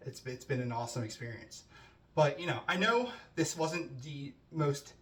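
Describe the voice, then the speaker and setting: A man's voice: medium pitch, expressive, normal speed, fairly bright tone. Man, thirties, casual chatty delivery, echoey room, mic set back. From New Jersey, US. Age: 30s. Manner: conversational with frequent fillers and restarts. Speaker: student introducing himself. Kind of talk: casual self-introduction. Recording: roomy, distant mic with faint ambience.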